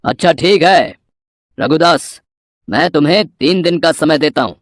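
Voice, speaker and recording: A man playing a greedy, domineering village moneylender in an animated moral story, imperious and threatening. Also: a little high, measured pace, no echo, clean studio sound, natural voice. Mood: scheming.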